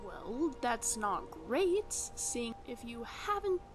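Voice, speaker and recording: A woman's voice: a little high, sing-song, slow pace. A woman playing a scatterbrained, bookish young female scholar in a video game, haughty and easily distracted, with hesitant asides. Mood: puzzled. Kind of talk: video game companion dialogue. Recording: game music behind the voice.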